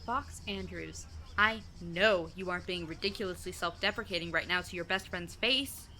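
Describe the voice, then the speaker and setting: A woman's voice: lowish voice, moderate pace, sharp tone. A woman playing a sarcastic, protective teenage best friend in an audio drama, with blunt, slangy teasing. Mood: fiercely supportive.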